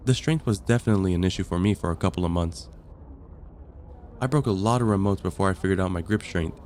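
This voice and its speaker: A man playing a friendly, patient vampire caseworker in an ASMR roleplay, explaining things calmly with gentle dry wit. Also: deep voice, fast talker, slight background noise, natural voice. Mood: amused, reassuring. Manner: calm, explanatory, gently wry. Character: friendly, patient vampire caseworker onboarding a newcomer, dryly amused. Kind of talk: ASMR roleplay, conversational acting.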